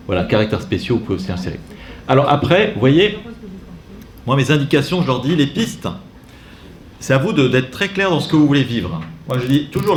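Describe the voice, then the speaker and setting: A man leads an informal training session, wandering into asides and poking fun at himself as he clicks through a demo. His voice sits at an ordinary middle pitch. The room is echoey, with a faint ambient hum underneath. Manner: chatty, digressive, self-mocking asides. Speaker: speaker training catechists.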